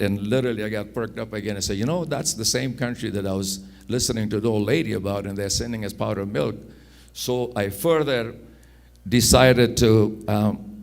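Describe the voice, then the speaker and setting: A man in his sixties from Afghanistan with an Afghan accent, telling personal stories in an unhurried, anecdotal way, repeating phrases for emphasis. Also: lowish voice, darkish tone. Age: 60s. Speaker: speaker telling his life story. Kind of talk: personal storytelling.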